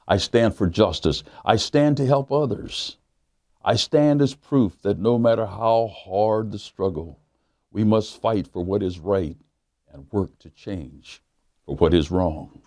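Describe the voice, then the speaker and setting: Deep, slow pastor reading a storybook. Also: male voice, expressive, dark tone, dry recording, clean studio sound. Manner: measured, emphatic, with dramatic pauses. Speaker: pastor reading a children's book. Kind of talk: storybook read-aloud for children.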